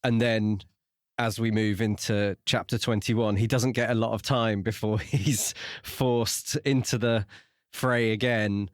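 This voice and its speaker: A man co-hosting a podcast, explaining a book in a measured, conversational way. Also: lowish voice, fairly even intonation, dry recording, clean studio sound. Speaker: podcast co-host. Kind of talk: casual book discussion.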